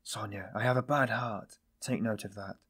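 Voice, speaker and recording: A man acting a tormented, husky, brooding man. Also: fairly low pitch, fairly even intonation, fairly bright tone. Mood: self-loathing. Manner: brooding, bitter, halting. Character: tormented, weary, self-loathing man confessing. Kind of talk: dramatised audiobook dialogue.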